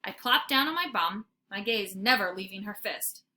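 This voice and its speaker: Bright, animated woman reading a children's story. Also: medium pitch, normal speed, a little hiss or hum. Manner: dramatic, animated emphasis. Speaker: teacher reading aloud. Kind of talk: expressive children's story narration.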